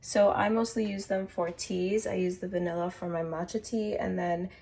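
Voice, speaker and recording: Young woman, congested, gravelly edge, casual home vlog, friendly recommendations. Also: on the low side, steady tone, normal speed, darkish tone. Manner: chatty, upbeat product recommendations. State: congested from a cold. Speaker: lifestyle vlogger. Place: home apartment, kitchen.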